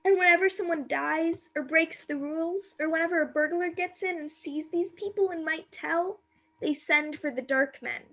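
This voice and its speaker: A woman plays an innocent young maid held captive in a radio drama. The voice is extremely high and girlish. The delivery is timid, sorrowful and plaintive, captured over a video call. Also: normal speed, fairly bright tone, poor audio. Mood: hushed horror. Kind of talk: radio play acting.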